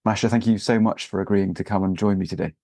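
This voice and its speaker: A man hosting a podcast interview, talking gently with many pauses and fillers. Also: lowish voice, steady tone, gravelly, no echo, clean studio sound. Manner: hesitant, many fillers and restarts. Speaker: podcast host. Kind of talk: relaxed interview with scripted intro.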